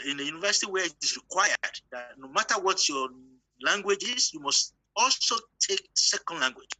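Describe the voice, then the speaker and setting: A man's voice: a little high, sharp tone, no room sound, poor audio. A Nigerian-born man with a Yoruba accent, whose first language is Yoruba, speaks bluntly and with emphasis as a professor in an online panel talk, asking rhetorical questions.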